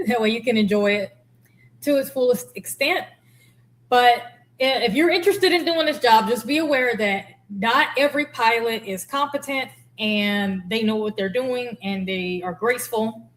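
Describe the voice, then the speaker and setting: A woman giving casual, candid explanatory commentary as a former air traffic controller. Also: fairly high pitch.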